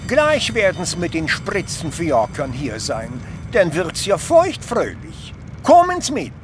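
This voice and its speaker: A man with a Viennese accent playing a brisk, dutiful helper in a German audio drama, speaking colloquially. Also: high-pitched, wildly swooping pitch, over music, heavily acted. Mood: urgent.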